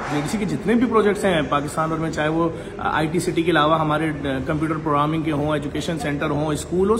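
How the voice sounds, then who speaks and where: A man from talks straight into a phone held at arm's length, with an urgent, persuasive push. His voice sits a little high for a man. Steady background noise from the street and the ward stays under every word. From Pakistan. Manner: urgent, persuasive, piles up lists. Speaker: charity head giving a tour. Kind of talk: walking vlog and donation appeal. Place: outdoors on a city street, then inside a dialysis ward. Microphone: phone camera at arm's length.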